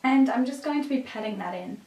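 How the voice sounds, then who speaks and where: Raspy, higher-pitched woman in an echoey room. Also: a little fast, dark tone. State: mildly sick with a cough. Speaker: beauty vlogger. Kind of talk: scripted makeup tutorial narration. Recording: roomy echo, distant microphone.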